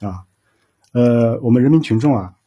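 A man speaking as an official interview guest, explaining things formally in measured phrases. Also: medium pitch, expressive, warm tone, breathy edge, muffled recording.

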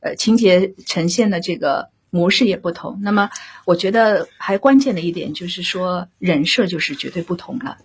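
A woman with a lowish, very husky voice in an explanatory discussion. She speaks as a guest director, deliberately and with measured phrasing, repeating the points that matter to her.

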